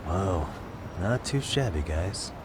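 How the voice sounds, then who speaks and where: A man's voice: sits low, expressive, slow, heavy background noise. An actor playing an easygoing, observant male sidekick in a video game, speaking in a laid-back, informal manner. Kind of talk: video game cutscene acting.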